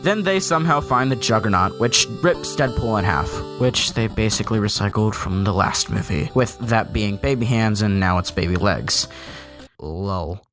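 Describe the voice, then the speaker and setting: A man's voice: fairly low pitch, expressive, moderate pace, fairly bright tone. A man narrating an opinionated comedic review in a sarcastic, ranting, sweary manner. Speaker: video reviewer and host. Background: background music.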